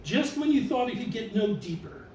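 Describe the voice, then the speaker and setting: A man reciting written text as author and curator, in a theatrical, deliberate way with drawn-out emphasis. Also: sits high, big pitch swings, darkish tone. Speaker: author and exhibition curator reading aloud. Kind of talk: dramatic recitation of catalog text to an audience. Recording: roomy, distant mic with background noise.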